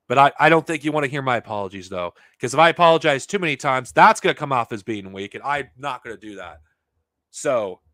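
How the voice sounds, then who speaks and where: A man hosting a podcast, talking off the cuff to his viewers in a heated, profane, rambling way, his voice getting worn and hoarse. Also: fairly high pitch, fairly bright tone, no echo, clean studio sound. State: losing his voice. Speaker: podcast host. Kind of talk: unscripted monologue to viewers.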